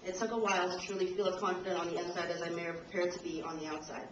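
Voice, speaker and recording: A woman's voice: on the low side, flat delivery, very fast. Woman, reading a speech, slightly nervous, roomy hall, distant camcorder. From Trinidad and Tobago. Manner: a little nervous, laughs between lines. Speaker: invited speaker. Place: university lecture room. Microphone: camcorder from the audience. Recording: roomy, distant, dull camcorder audio with noise.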